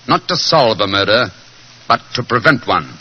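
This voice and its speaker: An actor playing a brisk, self-assured English detective in 1940s radio drama style, clipped and precise. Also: male voice, medium pitch, very animated, over loud music, lightly acted. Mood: brisk, urgent. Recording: noisy, dull old 1940s radio recording.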